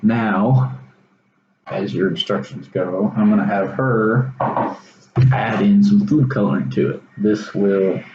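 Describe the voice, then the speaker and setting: Low-voiced young Texan man, roomy sound. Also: slow pace. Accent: Texas. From Texas. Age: young adult. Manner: casual, hesitant explaining. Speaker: student presenter. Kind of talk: informal science demonstration. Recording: roomy echo, dull sound, faint noise.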